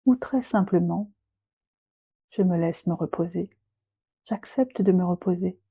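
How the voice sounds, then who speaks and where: Slow, dark, breathy woman guiding relaxation. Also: lowish voice, dry recording. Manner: gentle, unhurried, with long pauses between short phrases. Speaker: yoga nidra guide. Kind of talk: guided relaxation meditation, slow and soothing.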